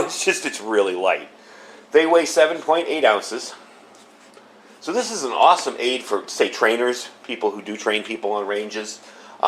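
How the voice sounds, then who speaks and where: A man talking casually through a hands-on gear review, explaining things in a conversational way. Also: mid-range voice. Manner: conversational explainer with occasional chuckles. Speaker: product reviewer, host.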